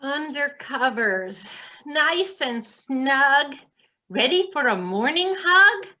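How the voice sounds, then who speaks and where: A woman's voice: high voice, animated, slow pace, bright, forceful tone. A woman reading children's stories and verse aloud expressively. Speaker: reader in a readers theatre.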